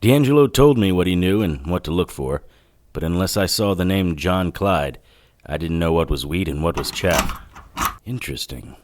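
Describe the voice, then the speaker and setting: An actor playing a wry, hardboiled 1940s private detective, narrating in dry, clipped noir style. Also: male voice, sits low, lively intonation, moderate pace. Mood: watchful narration. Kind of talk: noir first-person scripted narration.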